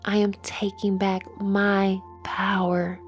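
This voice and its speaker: A woman leading a guided meditation in a soothing manner, with phrases that trail off into a breathy near-whisper. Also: medium pitch, flat delivery, slow. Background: music.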